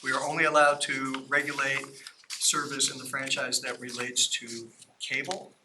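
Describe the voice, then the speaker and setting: A man walks a city council through a legal matter in a plain, explanatory way, at an ordinary middle pitch. There is a steady hiss of noise on the meeting recording. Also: moderate pace. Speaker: outside attorney briefing council. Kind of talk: conversational legal briefing. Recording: noisy council meeting audio.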